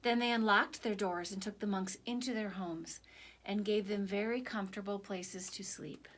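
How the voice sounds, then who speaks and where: A woman storyteller reading a picture book aloud for children in an expressive, animated way. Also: medium pitch, normal speed, a little hiss or hum.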